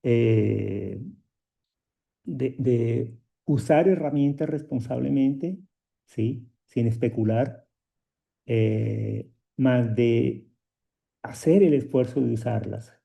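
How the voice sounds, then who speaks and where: A man giving an unscripted academic talk over a video call, slow and hesitant with many filler sounds, a native Portuguese speaker. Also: mid-range voice, vocal fry, mellow. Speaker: guest speaker at a colloquium.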